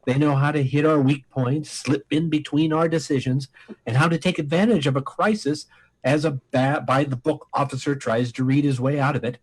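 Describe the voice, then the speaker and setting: A man playing a pompous, commanding space admiral in a comic radio play, speechifying emphatically in a husky voice. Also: fairly high pitch, steady tone, moderate pace, rough recording. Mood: approving, commanding. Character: blustering, commanding space admiral, male senior officer.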